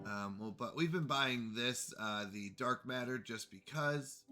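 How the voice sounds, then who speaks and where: A man with a bright, forward voice talks casually through a live game session, sounding a little congested. He chats with viewers between moves, with faint music under him. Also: mid-range voice. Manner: chatty, greets chat viewers. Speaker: streamer.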